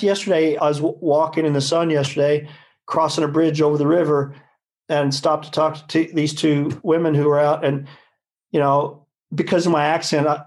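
A man's voice: fairly high pitch, darkish tone. A man, a nutrition scientist explaining in a rambling, self-correcting conversational way. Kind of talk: conversational expert discussion.

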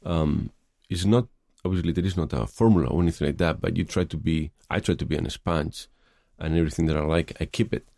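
A man's voice: rumbling low, brisk, gravelly, few pauses, a little mellow, no echo, studio quality. A middle-aged man from Spain with a Spanish accent, answering interview questions thoughtfully, with many hesitations and fillers. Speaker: film director.

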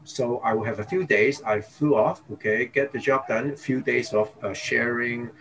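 A man's voice: medium pitch, normal speed, noisy background. Chatty man from Hong Kong. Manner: chatty, peppers speech with "okay?" and "you know". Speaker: dentist, interview guest. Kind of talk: casual interview talk.

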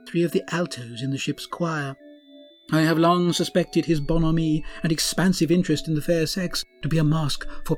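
A man's voice: a little high, a little mellow, close to an everyday voice. A man playing a grand, pompous starship captain who speaks in courtly rhymes. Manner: pompous, rhyming, courtly speech.

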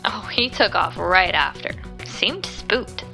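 An actress playing a friendly, chatty young diner waitress in an audio drama, with an informal, chirpy manner. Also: female voice, medium pitch, animated, takes it slowly, gravelly, pushed and bright, soft background music, heavily acted. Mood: chatty recounting. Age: young adult.

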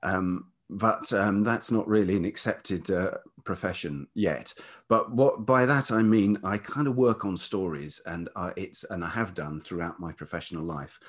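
A British man in middle age speaks in a very deep, velvety voice with a husky grain. His interview talk is reflective and halting, wandering a little as he searches for the exact phrase. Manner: halting, digressive, thoughtful. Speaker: podcast guest, writer and radio producer.

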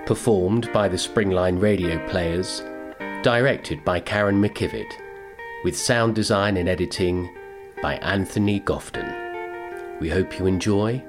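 Guy announcing radio play credits, really slow, guitar playing behind. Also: low voice. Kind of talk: scripted credits narration. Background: plucked guitar music.

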